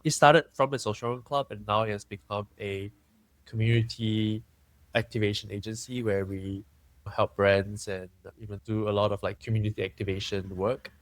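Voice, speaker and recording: A young man from Singapore, talking candidly and thoughtfully as a podcast guest, often pausing and restarting. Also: lowish voice, steady tone, no echo. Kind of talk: candid conversational interview.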